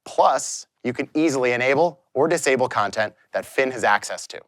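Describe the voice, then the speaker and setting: Male product demo narrator, medium pitch, scripted delivery, very dry recording. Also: lively intonation, a little fast, a bit bright, dry recording.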